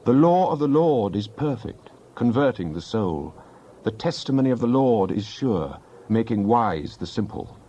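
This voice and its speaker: A man's voice: fairly low pitch, very animated, normal speed, dark tone, highs cut off. A man giving a dramatized Bible reading in a solemn, declamatory manner. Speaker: scripture reader.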